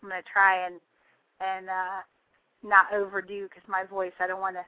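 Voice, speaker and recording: A woman with a slightly raspy, under-the-weather voice, chatting casually as a radio host calling in. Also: medium pitch, monotone, normal speed, no echo. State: recovering voice, prone to coughing. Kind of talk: casual live chat. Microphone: calling in by phone. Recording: dull, dry call-in audio.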